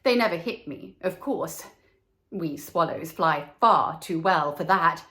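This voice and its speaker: A woman's voice: lowish voice, expressive, takes it slowly, fairly bright tone, voice pushed down. A woman voicing a proud, boastful little male swallow in a storybook, with haughty, emphatic delivery. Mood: haughty, indignant.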